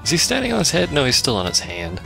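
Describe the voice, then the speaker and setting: A man's voice: mid-range voice, wildly swooping pitch, fast, vocal fry, music in the background, poor audio. A man giving casual, dry and sarcastic commentary on a video game he is playing. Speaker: let's play commentator.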